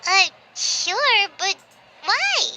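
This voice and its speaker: A woman voicing a tiny, excitable fairy girl companion in a video game, squeaky and childlike, exclaiming and questioning. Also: very slow, a little hiss or hum, heavily acted. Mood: startled, puzzled.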